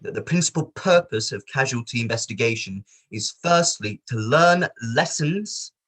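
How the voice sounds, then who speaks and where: A male actor reads a barrister at a public inquiry in a courtroom drama. He is formal and courteous, with a measured, probing way of putting questions. His voice sits at a middling pitch. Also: expressive, fairly bright tone. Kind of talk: online table read of a courtroom play.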